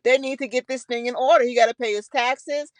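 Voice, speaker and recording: A woman's voice: high voice, fairly even intonation, brisk, no echo. A woman with an American accent co-hosting a podcast, talking over her opinions in a casual, blunt and colloquial way. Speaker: podcast co-host.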